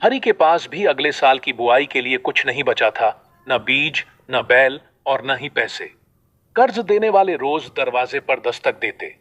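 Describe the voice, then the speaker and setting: A man narrating a scripted moral story as a storyteller, in a measured delivery. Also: medium pitch, expressive, fairly bright tone.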